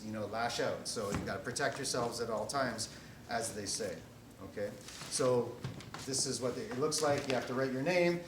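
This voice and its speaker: A man in his forties giving an informal rules briefing to a group as an event organizer, easygoing and often checking in with short tag questions. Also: medium pitch. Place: large echoey indoor training hall with mats. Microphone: distant camera microphone. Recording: echoey room, distant mic, loud background noise.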